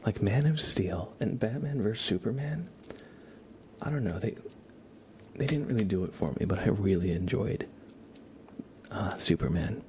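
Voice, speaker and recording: A man doing casual ASMR live-stream chat in a soft, whispery, hushed voice, rambling informally. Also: on the low side, expressive, normal speed, pauses often, a little hiss or hum.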